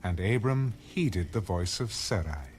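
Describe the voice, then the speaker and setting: A man reading scripture as a scripted audio narration, in a measured storytelling manner. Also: low-pitched, animated, a little mellow, a little hiss or hum.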